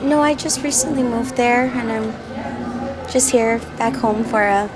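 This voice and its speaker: A woman from Saipan giving brief, soft-spoken answers in a casual street interview. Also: fairly high pitch, unhurried, over a noisy crowd.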